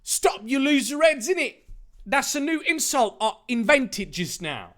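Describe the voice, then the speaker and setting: Man putting on a high, insolent thug. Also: moderate pace, a bit bright. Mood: scornful. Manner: tag questions, sneering insults. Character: insolent, taunting male thug with a verbal tic.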